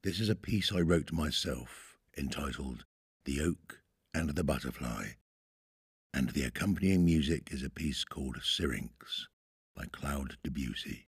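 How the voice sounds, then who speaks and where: Very deep, slow-spoken male narrator. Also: animated, husky, no room sound, studio quality. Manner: measured, formal, theatrical. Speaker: podcast host and voiceover artist. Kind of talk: scripted poetic narration. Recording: solo flute music behind.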